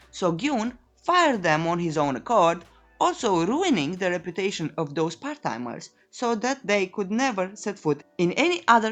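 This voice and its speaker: A woman's voice: medium pitch, a bit bright. Woman narrating a recap over music. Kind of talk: scripted comic recap narration.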